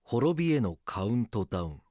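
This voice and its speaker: An actor playing an earnest police detective who narrates his case in a grave, measured way for an audio drama. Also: medium pitch, very animated, warm tone, no echo, studio quality, lightly acted. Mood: grave narration.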